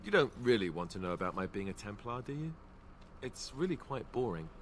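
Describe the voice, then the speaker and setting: An actor playing a self-deprecating young ex-Templar warrior in a video game, with dry, joking delivery. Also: male voice, fairly low pitch, very animated, brisk, a little hiss or hum. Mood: wry, joking. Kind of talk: scripted video game dialogue.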